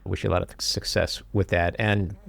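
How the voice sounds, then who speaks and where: A man hosting a podcast interview, asking questions in a measured, earnest way. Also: sits low, flat delivery, vocal fry, fairly bright tone, dry recording. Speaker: podcast host. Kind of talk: interview conversation.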